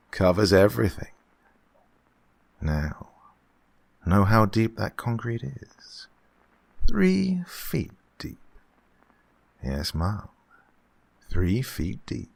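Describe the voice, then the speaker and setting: A man's voice: deep voice, creaky, darkish tone, slightly rough, heavily acted. A man acting a suave, gloating murderous employer in a horror radio play, with oily politeness and fussy, menacing repetition. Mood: creepy, gloating. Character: suave, gloating male employer who murders his housekeeper.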